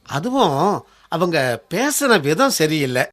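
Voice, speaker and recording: A man's voice: fairly high pitch, sing-song, takes it slowly, fairly bright tone, full character voice. A man playing a middle-aged, dignified and conciliatory uncle in a Tamil radio drama, speaking with earnest emphasis. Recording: faint music bed under the voice.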